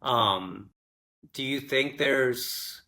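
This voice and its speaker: Guy hosting a chat, gravelly voice, stumbles thru his questions. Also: mid-range voice, sharp tone. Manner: halting, restarting questions. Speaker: podcast host. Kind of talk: casual interview.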